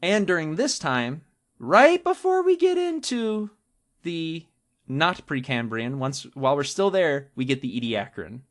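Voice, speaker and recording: A man co-hosting a podcast, explaining natural history in a casual, informal and jokey way with drawn-out emphasis on key terms. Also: a little high, very animated. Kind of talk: casual explanatory talk with a co-host.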